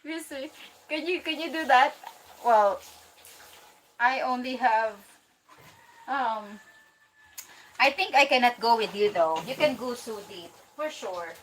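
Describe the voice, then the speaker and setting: Filipina woman chatting on a livestream, fairly high voice, excitable, laughs a lot. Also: slow pace, pauses often, a little hiss or hum, rough recording. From Philippines. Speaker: livestream host. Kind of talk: casual livestream chat reading viewer comments.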